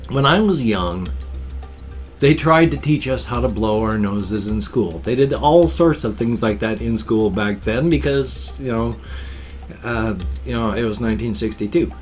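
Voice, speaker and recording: A man's voice: mid-range voice, unhurried, soft background music. A man in his fifties with a stuffed-up, wheezy voice, rambling casually to a webcam in a small room at home. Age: 50s. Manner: animated, gestures constantly with both hands. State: congested and wheezy. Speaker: vlogger. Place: small home room full of toys and collectibles. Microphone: webcam framing at desk.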